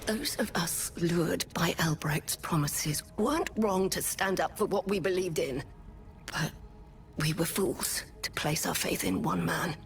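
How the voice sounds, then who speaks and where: An actress playing a resolute, idealistic leader of rebel survivors in a fantasy video game, speaking with earnest conviction. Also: female voice, fairly low pitch, animated, a little slow, creaky. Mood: regretful, earnest. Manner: earnest, impassioned. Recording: music behind.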